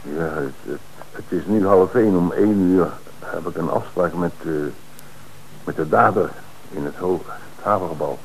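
An actor playing a middle-aged bank director who stammers and turns evasive under questioning, in an old radio play. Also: male voice, low voice, normal speed, vocal fry, a little hiss or hum, low-quality recording. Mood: confessing. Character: flustered middle-aged male bank director caught lying. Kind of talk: radio drama acting.

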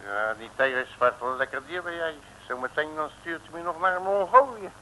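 A man's voice: a little high, fairly quick, bright, forceful tone. A man playing a brash, grumbling, wisecracking private detective with slangy, speech in an old radio serial. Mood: exasperated. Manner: slangy, sardonic, folksy. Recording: noisy old radio recording.

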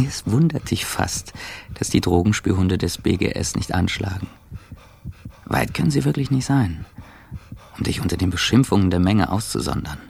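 An actor playing a bitter, seething injured ex-athlete in a radio drama monologue, gravelly and hushed, speaking with deliberate intensity. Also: male voice, low voice, exaggerated voice. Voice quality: gravelly, husky, breathy. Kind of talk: radio drama inner monologue in second person.

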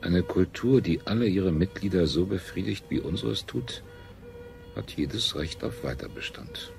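An actor playing a smug, pleasure-seeking ruler of a space colony in a radio play, with a pompous, declamatory manner. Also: male voice, low voice, animated, moderate pace, vocal fry. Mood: proud, solemn. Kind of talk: 1970s German radio play acting. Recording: music behind the voice.

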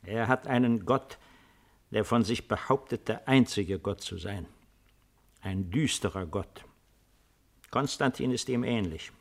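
An actor in a 1950s German radio play playing an old, weary, retired Roman emperor with a deliberate, ironic, theatrical delivery. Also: male voice, on the low side, creaky. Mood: bitter, reflective. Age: older.

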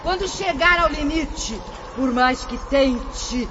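A spiteful witch of the shadows, gloats in a high, slow and drawn-out voice. She mocks in theatrical style. The recording is dull and lo-fi, with a steady hiss behind her. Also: crowd noise. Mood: menacing. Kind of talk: spiritist radio drama acting. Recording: dull lo-fi with noise.

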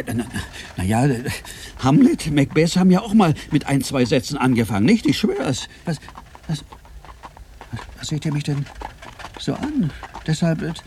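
A man's voice: fairly high pitch, darkish tone. An actor playing a flustered, agitated would-be playwright who stammers and repeats his words in a German radio play. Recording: noisy digitized radio cassette.

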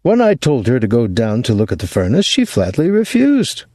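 A man's voice: medium pitch, huge pitch swings, normal speed, warm tone, dry recording, clean studio sound. A man reading aloud voices an elderly mother who complains shrilly and melodramatically over the phone. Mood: complaining. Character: fretful complaining elderly mother, older woman.

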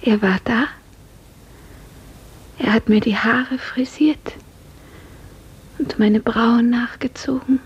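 A woman playing a dreamy, grief-numbed young serf in a 1950s radio drama, hushed, breathy and mournful. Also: a little high, steady tone, slow pace, pauses often, muffled recording, heavily acted. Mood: dreamy devotion. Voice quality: breathy, half-whispered.

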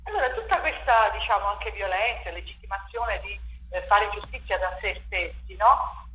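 A woman's voice: mid-range voice, lively intonation, moderate pace, narrow, tinny audio. A woman theatre director talks through her work in an interview, reflective and searching for words. Her mid-range voice sounds roomy and echoey, with a faint hiss underneath. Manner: reflective, many fillers and restarts. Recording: echoey room with faint noise.

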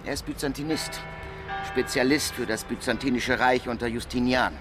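An actor playing a dry, learned police inspector, reporting methodically in clipped phrases in a radio crime drama. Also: male voice, mid-range voice, steady tone, moderate pace, over music, natural voice. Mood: brisk, factual. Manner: matter-of-fact, clipped reporting.

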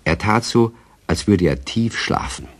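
Deep, lively male storybook narrator. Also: measured pace, dry recording. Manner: calm, expressive storytelling.

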